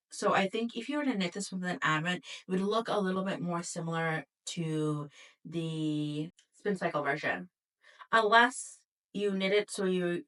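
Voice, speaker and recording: A woman's voice: lowish voice, a bit bright, recorded from a distance, low-quality recording. A woman vlogging casually to camera, her voice a little nasal from a cold, chatty with frequent fillers. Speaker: knitting vlogger.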